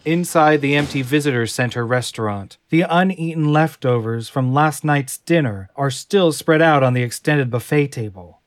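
A man reading stage directions as narrator of a fan audio drama in an even, descriptive way. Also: medium pitch, normal speed, hardly any pauses.

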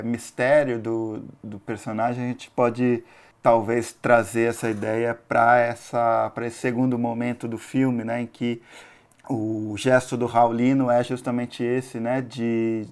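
A Brazilian man discussing a film analytically and off the cuff, in a halting, tentative way with restarted phrases. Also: mid-range voice. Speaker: film commentator.

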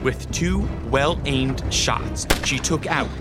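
A man's voice: medium pitch, slow pace, loud music behind the voice, close to an everyday voice. A man narrating a gothic adventure radio drama in a solemn, literary, dramatic manner.

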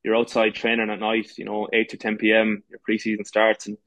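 Brief: Irish man in his thirties, rapid level speech, halting restarts. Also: on the low side, monotone, fairly bright tone, dry recording. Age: 30s. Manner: many false starts and fillers. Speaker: podcast guest, goalkeeper and coach. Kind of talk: casual interview talk.

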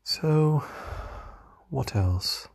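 A tired young man with a slightly hoarse voice, rambling hesitantly through a casual diary vlog with many fillers and restarts. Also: on the high side, expressive, drawn-out words, a little mellow. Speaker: vlogger.

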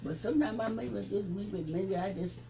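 A man in his seventies, a reverend, recalling the past in an interview with a preaching cadence that rises to forceful emphasis. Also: high-pitched, normal speed, vocal fry, crowd noise. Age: 70s. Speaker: reverend, civil rights veteran. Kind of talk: interview reminiscence.

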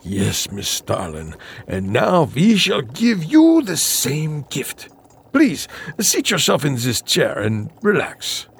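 An eccentric scientist in a campy audio drama. His voice is gravelly and rough-edged, with big swings of pitch and a theatrical, eager, scheming manner. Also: huge pitch swings, takes it slowly, exaggerated voice. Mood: courtly, eager.

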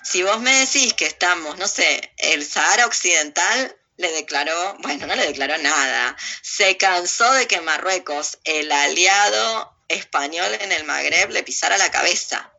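Argentine woman, very sharp bright voice. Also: fairly low pitch, lively intonation, unhurried, creaky, few pauses, highs cut off. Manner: ironic, provocative, digressive. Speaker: interview guest with own video channel. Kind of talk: opinionated casual conversation.

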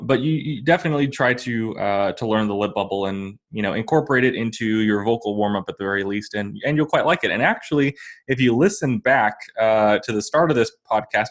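A man hosting a podcast, explaining singing exercises in a relaxed, easygoing way. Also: fairly low pitch, brisk. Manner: relaxed, thinks aloud with fillers. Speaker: podcast host, vocal coach. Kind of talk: casual teaching chat.

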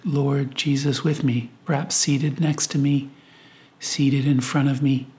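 A man's voice: medium pitch, darkish tone. Man, mid-range voice, priest, reflective, prayerful, guided meditation delivery. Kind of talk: guided meditation, spoken prayer and scripture reading.

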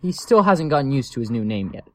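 A man's voice: medium pitch, very animated, fast talker, darkish tone, natural voice, rough recording. A man playing a caring, cautionary animal shelter worker in a scripted audio story, earnest and explanatory. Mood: grave, explanatory.

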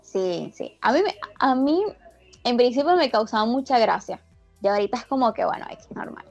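A woman's voice: a little high, animated, moderate pace, gravelly, a little mellow, muffled recording, soft background music. A woman from Venezuela with a Venezuelan accent that carries a slight Argentine lilt, chatting casually as an interviewee and rambling through many restarts and fillers. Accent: Venezuelan Spanish with a slight Argentine lilt. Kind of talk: casual chat.

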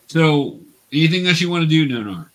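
A man's voice: fairly high pitch, animated, brisk. Patient man guiding a tabletop game. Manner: patient, explanatory teaching. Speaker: game master.

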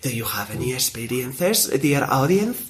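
A man's voice: mid-range voice, normal speed. A man, co-hosting a casual chat show and telling stories with lots of acted-out voices. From Mexico. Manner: animated storyteller, acts out quoted voices. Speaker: podcast co-host.

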